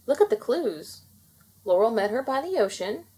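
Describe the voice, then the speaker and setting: A caring young mermaid princess out of a children's storybook, played by a woman. She sounds gentle and expressive, with a raised, lively pitch. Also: moderate pace, husky. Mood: confident.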